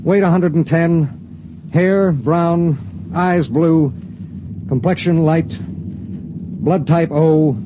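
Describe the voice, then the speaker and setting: High-voiced, anguished spaceship pilot, American. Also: male voice, very slow, long pauses, muffled recording. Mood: businesslike, subdued. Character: anguished, short-tempered male dispatch spaceship pilot. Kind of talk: vintage American radio drama acting. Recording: noisy vintage radio recording, engine rumble.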